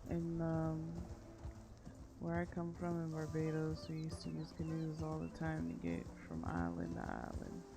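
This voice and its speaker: A woman voicing an easygoing frontier woman from Barbados who drawls slowly with a gravelly edge. She talks in a relaxed, hesitant way, padding her lines with fillers, over steady game music. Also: sits low. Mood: unhurried. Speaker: roleplayer. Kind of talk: in-character roleplay chat.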